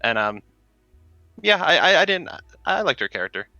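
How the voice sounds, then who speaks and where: A young man with a very bright, sharp voice talks games on a podcast and keeps restarting his sentences. The sound is dry and dull, like a lo-fi home recording, and faint music plays underneath. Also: a little high, sing-song, normal speed, gravelly, no echo. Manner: rambling, lots of restarts and fillers. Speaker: podcast co-host. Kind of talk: casual gaming podcast chat.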